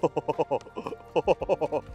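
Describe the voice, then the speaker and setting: A man's voice: fairly high pitch, extremely slow, lo-fi sound, faint music behind. A man with an Australian accent chatting and joking on a fishing boat outdoors. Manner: animated, laughing banter. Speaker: tournament angler. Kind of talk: casual chat while fishing.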